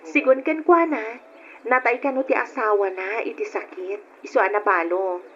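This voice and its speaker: A woman's voice: mid-range voice, steady tone, moderate pace, close to an everyday voice. An actress playing a polite, earnest, grateful young working woman and devoted daughter in a radio drama. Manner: deferential, earnest. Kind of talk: Ilocano radio drama acting. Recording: dull, noisy old radio drama recording.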